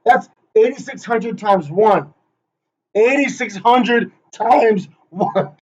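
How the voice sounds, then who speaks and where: A man's voice: sits high, lively intonation, slow, breathy edge. A man rehearsing a playful conference presentation, joking, hyping up and teasing the people he names. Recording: dull lo-fi recording.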